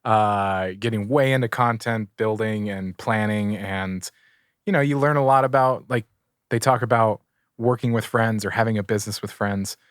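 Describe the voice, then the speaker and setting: A man's voice: lowish voice, fairly even intonation, smooth, no echo. Rambling man in a dry studio. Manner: rambling, halting with many fillers. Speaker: podcast co-host. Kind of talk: casual chat. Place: studio room with table and mics.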